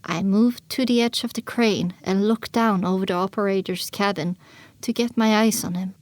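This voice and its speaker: A woman playing a young pony mare who tells her own story in earnest, measured audiobook narration. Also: mid-range voice, fairly even intonation, no room sound, natural voice. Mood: attentive, measured. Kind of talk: scripted first-person audiobook narration.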